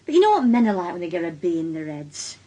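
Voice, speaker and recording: A woman's voice: fairly low pitch, sing-song, fast talker, warm tone. An actress playing an anxious, defensive working-class mother with a Northern English accent in a naturalistic radio drama, speaking hesitantly and evasively. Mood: confiding, evasive.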